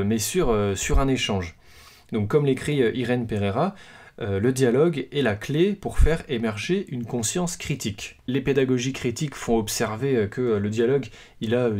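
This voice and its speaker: A man hosting a podcast, talking through a book's ideas in a loose, unscripted way, with frequent pauses and restarts. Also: mid-range voice, darkish tone. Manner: hesitant, many fillers and restarts; self-deprecating humor. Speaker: podcast host presenting a book. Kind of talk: casual spoken book presentation.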